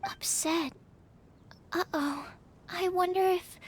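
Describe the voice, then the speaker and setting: A woman voicing a timid, earnest young female alchemist in a video game, explaining things in a gentle, hesitant way. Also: very high voice, steady tone, slow pace, slight background noise. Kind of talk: video game character acting. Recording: music behind the voice.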